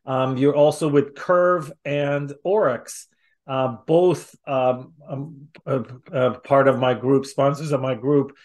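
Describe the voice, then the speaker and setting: A man's voice: mid-range voice, steady tone, slow, darkish tone. A middle-aged man hosting a casual interview over a video call. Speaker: host, interviewer. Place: indoor room on a video call. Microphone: video call microphone.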